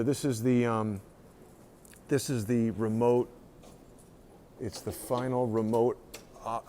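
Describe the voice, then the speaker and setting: A man's voice: lowish voice, a little mellow. A man hosting a casual live tour indoors, commenting and reading signs aloud in an inquisitive way. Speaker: host of a live-streamed tour. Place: indoors, walking around a research facility. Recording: noisy live stream in a public building.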